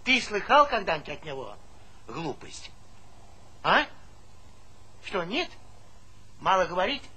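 A man's voice: high voice, wildly swooping pitch, measured pace, pushed and bright, heavily acted. An actor playing a talkative, stubborn old village grandfather in a stage comedy, folksy and argumentative, repeating himself. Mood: proud, insistent. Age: older. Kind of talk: theatrical stage comedy acting. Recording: noisy 1970s theatre play recording.

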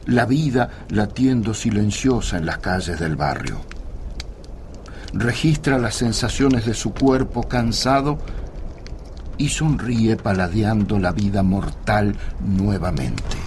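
Radio drama narrator: man, poetic and solemn, unhurried fragmented phrasing, fairly low voice. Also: darkish tone, slight background noise.

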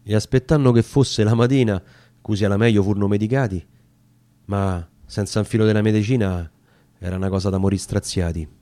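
A man's voice: on the low side, steady tone, a little fast, dark tone, no echo. A man with a Roman accent giving a solemn, theatrical recitation of narrative dialect poetry. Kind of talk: dramatic recitation of narrative verse.

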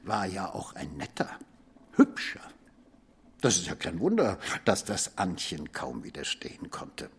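An actor playing a smug, insinuating police inspector in a radio drama, husky and taunting. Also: male voice, sits low, very animated, measured pace, exaggerated voice. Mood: insinuating, mocking.

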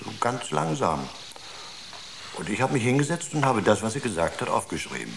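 A man's voice: mid-range voice, very animated, normal speed, creaky. A man playing an earnest psychologist on a space crew in a naturalistic radio drama, explaining things in a searching way. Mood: hesitant, absorbed. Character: earnest male space-crew psychologist, absorbed in his experiment. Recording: noisy radio drama recording.

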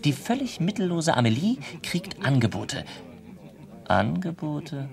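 Wry, gravelly storyteller. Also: male voice, a little high, big pitch swings, measured pace, full character voice. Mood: wry, teasing. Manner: ironic, florid. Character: wry, teasing storyteller addressing the listeners. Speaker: narrator. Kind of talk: scripted radio drama narration.

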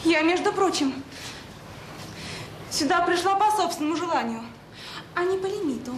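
A callous, defiant woman who works with children. Her voice sits extremely high, and she argues with sarcasm and scorn. It is a roomy radio-drama recording with a little noise. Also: animated, normal speed. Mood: indignant, sarcastic. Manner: argumentative, sarcastic. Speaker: actor in an audio drama. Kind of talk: Soviet radio drama acting.

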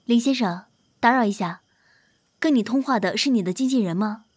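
A woman's voice: fairly high pitch, normal speed, husky, dry recording. A woman playing a courteous, protective celebrity manager in an audio drama, with a formal, polite and composed manner. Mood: businesslike.

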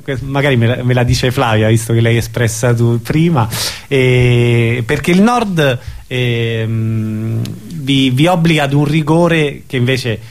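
Man, medium pitch, radio interviewer, casual, halting and hesitant.

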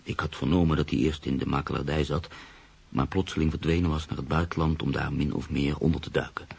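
A man acting in a radio drama as a brooding, sceptical storyteller, husky and gravelly, with a measured, literary, pensive delivery. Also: extremely low pitch, fairly even intonation, darkish tone, full character voice. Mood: reflective, uneasy. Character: brooding, sceptical man narrating his own uneasy story. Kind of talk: radio drama narration and dialogue.

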